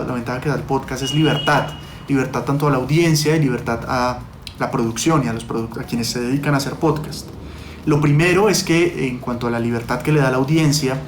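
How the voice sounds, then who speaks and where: A man giving explanatory interview answers, pausing and rephrasing often as he goes. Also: mid-range voice, background noise. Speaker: podcast producer, interview guest. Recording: roomy echo, faint music.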